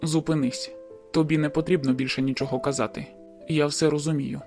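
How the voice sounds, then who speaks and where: A man's voice: fairly high pitch, little pitch movement, brisk, a little mellow, soft background music, natural voice. A man voicing a hurt, bitter young man in an audiobook, who speaks bluntly and wearily. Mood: pleading. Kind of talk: audiobook dialogue.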